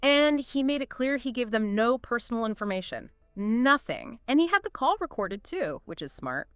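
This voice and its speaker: A woman co-hosting a scripted discussion, telling the story with lively emphasis. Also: fairly high pitch, normal speed, clean tone, no echo, clean studio sound, soft background music. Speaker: podcast co-host. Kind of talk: scripted two-host discussion.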